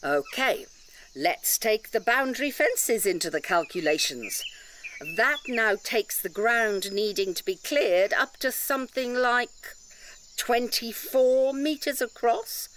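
An actress playing an indignant, articulate defender of a nature sanctuary in an audio drama, challenging and emphatic. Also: female voice, on the high side, animated, takes it slowly, fairly bright tone, slight background noise.

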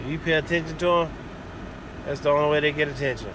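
American guy in his 50s talking blunt and casual, swears a lot, kinda high voice, clear car noise behind him. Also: steady tone, fast. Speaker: vlogger. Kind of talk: casual opinion monologue to camera. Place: inside a parked or moving vehicle cab. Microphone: phone at arm's length. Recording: phone recording with clear vehicle noise. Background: car and air conditioning hum.

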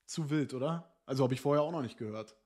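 Casual German-speaking streamer, relaxed. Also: male voice, mid-range voice, quick pace, breathy edge. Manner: reads text aloud, then comments in loose asides with fillers. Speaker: streamer reading and reacting to an interview. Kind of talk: casual chat, reading an article aloud. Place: small room indoors.

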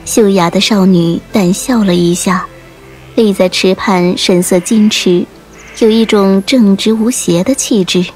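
Female audiobook narrator, slow pace, medium pitch, measured literary storytelling, faint music behind. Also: fairly even intonation, a little mellow. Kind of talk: dramatic scripted storytelling narration.

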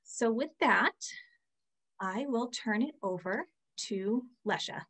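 A woman's voice: fairly high pitch, animated, takes it slowly, a bit bright. A young woman hosting for a museum, introducing a guest speaker over a video call with a friendly, filler-heavy delivery. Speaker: museum host. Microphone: laptop webcam mic on a video call.

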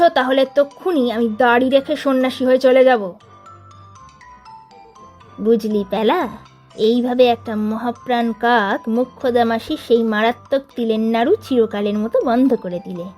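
A woman's voice: a little high, darkish tone, pitched below the performer's own voice, lightly acted. A woman voicing a boastful teenage boy who leads his gang, spinning a tall tale in a teasing tone. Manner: bragging, teasing storyteller tone. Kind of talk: storybook reading of a figure's tall tale.

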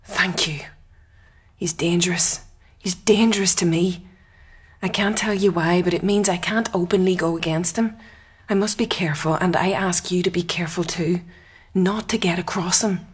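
A husky, spirited young woman. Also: on the low side, steady tone, moderate pace. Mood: urgent pleading. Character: spirited, sardonic young woman, guarded and urgent. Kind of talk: radio drama acting.

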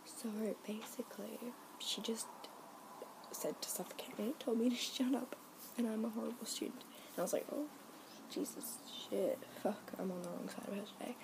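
A woman's voice: a little high, fairly even intonation, moderate pace, creaky. A young woman whispering a rambling, giggly story to her camera as a vlogger. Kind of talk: whispered ASMR story time. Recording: noisy home recording.

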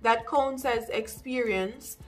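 A young woman with a Jamaican accent giving casual, direct advice to camera as an English teacher, with lively asides. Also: fairly high pitch, takes it slowly, soft background music. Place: small room indoors.

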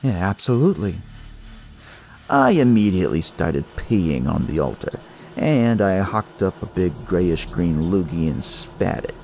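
A low-voiced man narrates a hardboiled first-person tale. He sounds gravelly and colloquial, with wry asides, over faint background noise. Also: expressive, a little slow, darkish tone. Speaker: storyteller narrating as a detective.

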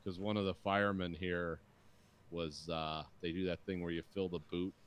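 A man's voice: deep voice, level tone, normal speed, clear, resonant tone. A man in his forties hosting a podcast from a dim home studio, rambling through crude banter and swearing freely. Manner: rambling storyteller, swears freely, mimics people. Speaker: podcast host. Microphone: studio mic on boom arm with headphones.

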